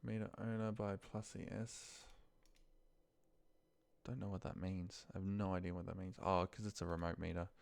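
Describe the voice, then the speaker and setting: A man thinking aloud in a muttered, pausing way as he talks through spreadsheet work on his computer. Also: sits low, level tone, vocal fry, a little mellow. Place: at a computer desk, indoors.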